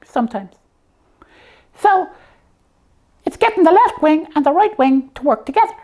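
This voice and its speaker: A woman voicing a slipper puppet who hosts a comic show, explaining things simply and haltingly in a matter-of-fact way. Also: high-pitched, very animated, darkish tone.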